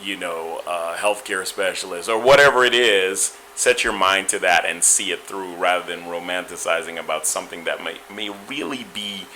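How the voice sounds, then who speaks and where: A man with a Caribbean accent talks in a very low yet bright, ringing voice. He answers interview questions in a thoughtful, measured way, often restarting a phrase and adding "you know." A faint hum runs underneath. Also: low-quality recording. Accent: Caribbean, Guyanese and Trinidadian. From Guyana. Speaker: interview guest.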